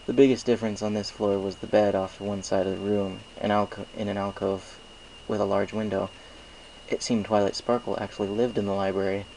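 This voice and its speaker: Low, creaky-voiced man narrating a story. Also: darkish tone, a little hiss or hum, low-quality recording. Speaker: amateur story reader. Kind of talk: scripted first-person story narration.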